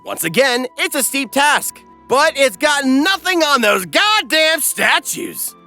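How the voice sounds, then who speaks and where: A man's voice: extremely high pitch, big pitch swings, slow pace, pushed and bright, faint music behind. A man giving a comedic scripted recap as narrator, snarky, irreverent and exuberant.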